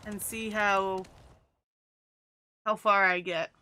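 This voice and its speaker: Bright, chatty woman streamer. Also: mid-range voice, level tone, takes it slowly. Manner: chatty, playful, laughs often. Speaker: game streamer. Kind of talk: casual gameplay commentary.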